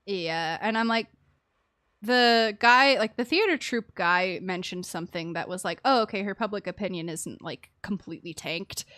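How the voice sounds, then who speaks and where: A woman's voice: medium pitch, normal speed, a bit bright. A woman giving casual, sympathetic live commentary as she streams a game, chatty and giggly, using pet names.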